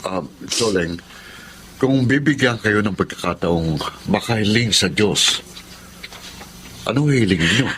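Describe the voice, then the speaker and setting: An actor playing a polite, sympathetic TV interviewer in a Filipino radio drama, mixing in some English. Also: male voice, on the low side, expressive, slow pace, pauses often, fairly bright tone, slight background noise. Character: courteous, concerned male TV program interviewer.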